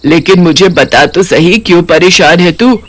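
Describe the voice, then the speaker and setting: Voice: medium pitch, measured pace, close to an everyday voice. A performer voicing a hungry, blunt, boastful but secretly kind-hearted cartoon witch with brash, colloquial delivery. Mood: softening. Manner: brash, taunting, colloquial scolding. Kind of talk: Hindi cartoon character voice.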